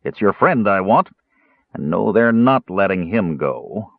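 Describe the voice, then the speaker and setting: A man's voice: low-pitched, very animated, a little slow, dry recording, phone-quality sound, exaggerated voice. A man voicing a grim, sarcastic tough in an audiobook, curt, impatient and growling.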